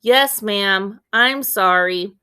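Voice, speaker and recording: A woman's voice: medium pitch, very slow, sharp tone, clear, resonant tone. A woman voicing an anxious, earnest ten-year-old farm boy from a storybook, full of pleading questions. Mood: earnest, curious.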